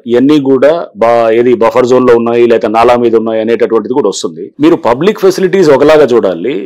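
Official, 50s, fast and explanatory, office interview, lapel mic. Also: male voice, medium pitch, darkish tone. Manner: explanatory, mixes English phrases, frequent "see". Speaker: government official. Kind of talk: interview answers. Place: office, indoors.

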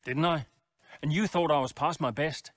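A man's voice: on the high side, moderate pace, no echo, studio quality, close to an everyday voice. A man playing a proud, blunt veteran racing driver in a video game cutscene. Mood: determined. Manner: blunt, combative.

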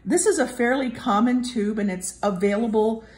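A woman's voice: a little high, moderate pace, a little mellow. A woman giving a casual hands-on walkthrough as a hobbyist reviewer, explaining things hesitantly and often rephrasing. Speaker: hobbyist reviewer presenting an electronics kit.

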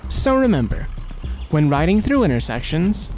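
A man reading a scripted instructional safety narration in an even, instructive manner. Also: a little high, moderate pace, over music. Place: voice-over over animation with background music.

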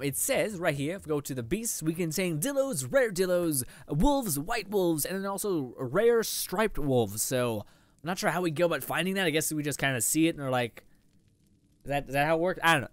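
An eighteen-year-old man giving rambling, casual let's play commentary, his voice a little raspy after eating. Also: on the high side, no room sound. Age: teens. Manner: rambling, chatty, lots of fillers. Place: indoor room.

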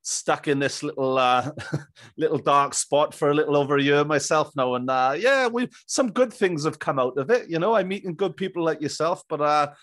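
A man's voice: a little high, moderate pace, fairly bright tone, dry recording, clean studio sound. A man in his thirties hosting a casual interview, with a chummy, jovial manner. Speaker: podcast host. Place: small indoor room. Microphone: close boom-arm microphone with headphones.

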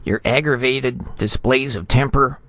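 A man's voice: mid-range voice, expressive, moderate pace, gravelly, sharp tone, no echo, pitched above the performer's own voice, exaggerated voice. A man voicing a pompous, choleric senior ambassador in a storybook reading, blustering and sputtering in formal phrases. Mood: severe.

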